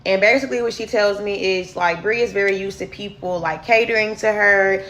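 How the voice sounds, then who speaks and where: A deep-voiced woman, candidly telling viewers a personal story in a casual storytime vlog. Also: steady tone, brisk, a bit bright. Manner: candid, chatty, addresses viewers as y'all. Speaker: storyteller host.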